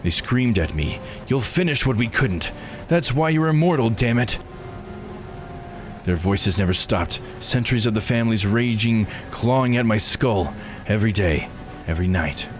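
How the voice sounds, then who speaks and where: Vengeful immortal lord for a visual novel, played by a man: low, grim, brooding, dramatic, with music clearly behind. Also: expressive, normal speed, lightly acted. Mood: brooding anguish. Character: bitter eight-hundred-year-old immortal lord, vengeful and brooding.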